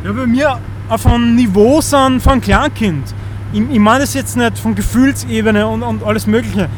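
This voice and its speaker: An Austrian man in his thirties with a fairly high voice speaks in a loose, earnest monologue. He often stumbles and restarts as he hunts for the right words. Faint street and car noise sits behind him outdoors. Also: moderate pace. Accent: Austrian German. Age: 30s. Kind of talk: casual rambling monologue.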